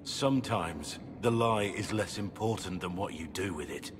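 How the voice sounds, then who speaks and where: An actor playing a gruff, guilt-ridden soldier in a video game, speaking gravely and deliberately with bitterness. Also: male voice, low voice, close to an everyday voice. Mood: grave remorse. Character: guilt-ridden gruff soldier, disgraced male impostor warden. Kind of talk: dramatic video game acting. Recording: noisy game capture in reverberant hall.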